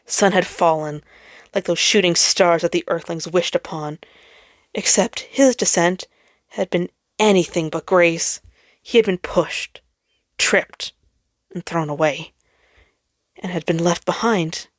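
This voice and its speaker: A woman reading a story aloud as a dramatic narrator, theatrical and emphatic, with phrases that trail off softly. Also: fairly low pitch, a little slow, long pauses, no room sound, studio quality. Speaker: fan fiction reader.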